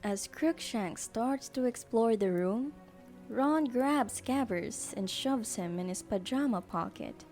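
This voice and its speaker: A woman narrates a scripted audio drama in a fairly high voice. She takes a slow, measured pace. Music plays clearly behind her. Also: expressive, darkish tone.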